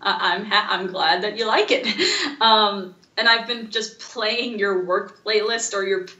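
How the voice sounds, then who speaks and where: Bright-voiced woman chatting in an echoey room. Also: medium pitch, animated, measured pace, dull audio. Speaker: podcast co-host. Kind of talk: casual chat.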